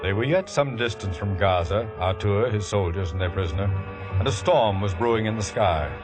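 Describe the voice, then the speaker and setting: An actor narrating a biblical tale in a solemn, measured manner for a 1950s radio drama. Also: male voice, on the low side, natural voice. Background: music.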